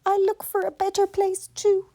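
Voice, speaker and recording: A small grey lady mouse from a children's storybook, acted by a woman reader. The voice is pitched well up, eager and politely hospitable. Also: completely flat delivery, normal speed, warm tone. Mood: friendly, eager.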